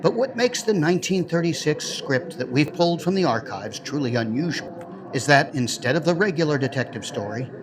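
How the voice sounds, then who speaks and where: A man's voice: on the high side, noisy background. A man hosting an audio drama, reading a scripted introduction in a conversational way with playful asides. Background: music.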